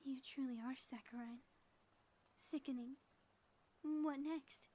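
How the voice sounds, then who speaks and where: A woman playing a sly, theatrical supervillain inventor in an ASMR audio roleplay, speaking softly with pompous, taunting phrasing and often dropping toward a whisper. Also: sits high, steady tone, takes it slowly. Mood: mocking. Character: gloating female supervillain inventor, sly, theatrical, secretly smitten.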